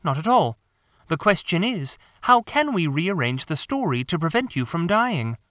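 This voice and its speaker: A man's voice: fairly high pitch, very animated, moderate pace, a bit bright, no room sound, clean studio sound. A man voicing a sensible young girl, a prim and motherly peacemaking sister, in an audiobook story. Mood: prim, firm.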